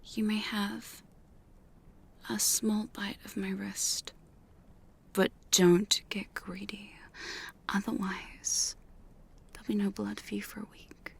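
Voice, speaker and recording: A woman's voice: mid-range voice, level tone, full character voice. A woman playing a teasing, domineering female vampire hunter in a mostly whispered, seductive ASMR roleplay. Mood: bossy, condescending. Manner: slow, breathy, seductive, commanding. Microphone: close studio condenser microphone.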